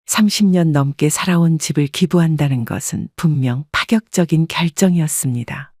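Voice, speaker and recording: A woman narrating a scripted first-person story in a calm, reflective way. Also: low voice, slightly rough, no room sound, studio quality.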